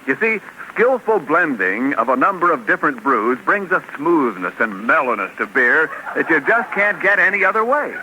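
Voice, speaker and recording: A man who is an announcer, reading a scripted radio commercial in a smooth, persuasive pitchman manner, on a muffled old broadcast recording. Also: sing-song, normal speed, few pauses, sharp tone, slight background noise.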